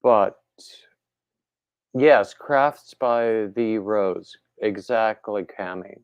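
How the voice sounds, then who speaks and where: Male stream host, unhurried and rambling, gravelly lower voice, a bit congested, dull home-mic sound. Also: no room sound. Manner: rambling, relaxed, reads viewer comments aloud. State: slightly congested throat. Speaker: livestream host. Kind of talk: casual chat with live viewers.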